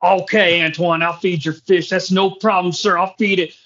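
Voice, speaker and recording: A man hosting a podcast in casual, jokey chat with animated reactions. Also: high voice, normal speed. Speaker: podcast host. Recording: dull lo-fi podcast audio.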